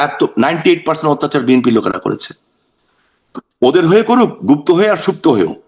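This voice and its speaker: Male political talk-show guest, Bangladeshi accent, slightly high voice; emphatic, rhetorical, repeats for stress. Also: big pitch swings, quick pace, creaky. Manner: emphatic, rhetorical questions, repeats phrases for stress, mixes in English words. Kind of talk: impassioned political talk in an interview.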